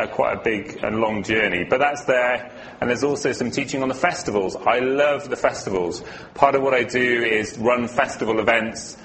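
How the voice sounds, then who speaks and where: A man's voice: mid-range voice, a bit bright, a little hiss or hum, poor audio. Earnest male guest speaker from Wales. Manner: earnest, informal, with fillers. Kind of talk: church teaching talk.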